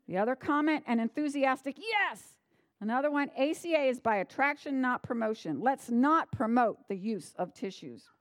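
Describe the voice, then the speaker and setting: A woman's voice: high-pitched, lively intonation, normal speed, no room sound. A woman presenting to a meeting, reading out written comments to the group. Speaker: meeting presenter handing over to moderators.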